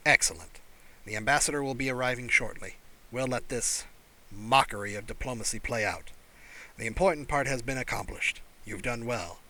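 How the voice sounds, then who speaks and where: A man's voice: medium pitch, moderate pace, sharp tone, lightly acted, low-quality recording. Man playing a commanding alien clan father. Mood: satisfied. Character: older alien clan father, stern, authoritative, tolerant.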